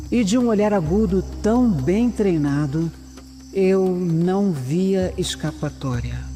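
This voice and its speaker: Investigadora brasileira séria, com muita reverberação. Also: female voice, on the low side, warm tone. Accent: Brazilian. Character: shrewd, seasoned female investigator, serious and wary. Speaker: lead actor and first-person narrator. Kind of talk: audio drama acting and scripted narration.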